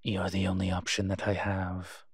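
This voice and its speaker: A man playing a proud, flirtatious incubus demon professor in an intimate audio roleplay, husky and teasing, with hesitant, drawn-out pauses. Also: low voice, completely flat delivery, dry recording, studio quality. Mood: grudging, pleading.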